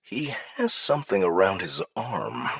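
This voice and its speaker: A man voicing an imperious, suspicious alien dignitary in an audiobook, measured, formal and condescending. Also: low-pitched, very animated, gravelly, fairly bright tone, breathy edge, no echo, full character voice. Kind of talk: audiobook character acting.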